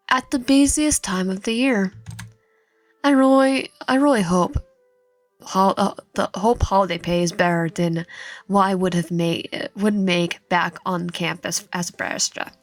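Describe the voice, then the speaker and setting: A woman reading a visual novel aloud in a halting way, often stumbling and correcting herself, with casual asides. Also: medium pitch. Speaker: video game streamer reading aloud. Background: background music.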